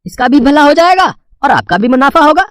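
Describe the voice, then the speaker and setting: A man's voice: extremely high pitch, very animated, fairly quick, a bit bright, no room sound, clean studio sound, heavily acted. An actor playing a helpful, deferential male kitchen helper in a cartoon story. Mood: eager, helpful.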